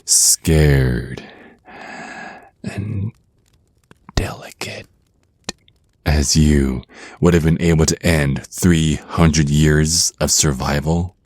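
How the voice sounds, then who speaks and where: Very deep, slow vampire naga voiced by a man. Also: a little mellow, dry recording, heavily acted. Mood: amused, condescending. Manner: slow, teasing, condescending, threatening endearments. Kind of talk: ASMR roleplay acting.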